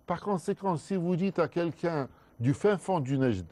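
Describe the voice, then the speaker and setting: Mellow-voiced male scholar explaining. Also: on the high side, expressive, no echo. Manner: measured, with frequent restarts. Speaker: guest scholar. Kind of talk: explanatory studio discussion. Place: TV studio.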